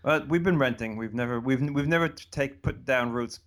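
An American man around seventy, answering interview questions in a relaxed, thoughtful way that wanders and restarts. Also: mid-range voice, a little fast. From United States. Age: about 70. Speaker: interview guest. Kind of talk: casual conversational interview.

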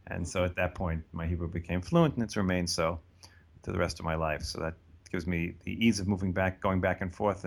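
An American man around seventy, answering interview questions in a relaxed, thoughtful way that wanders and restarts. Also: lowish voice. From United States. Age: about 70. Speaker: interview guest. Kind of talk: casual conversational interview.

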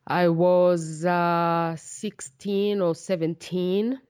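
Deep-voiced, smooth Rwandan woman, speaking reflectively. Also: steady tone, darkish tone, dry recording, clean studio sound. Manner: halting, thoughtful, searching for words. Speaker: interview guest, writer. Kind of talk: reflective personal storytelling in an interview.

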